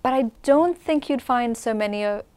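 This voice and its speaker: A woman who grew up in Syria, answering as an interview guest in an articulate, measured, reflective way. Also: fairly high pitch, darkish tone, clear, resonant tone. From Syria, grew up in Damascus. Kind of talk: conversational commentary on culture.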